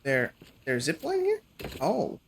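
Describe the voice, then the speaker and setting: A man's voice: fairly high pitch, wildly swooping pitch, takes it slowly, vocal fry, slight background noise. A male streamer giving casual gameplay commentary and joking back and forth with his chat.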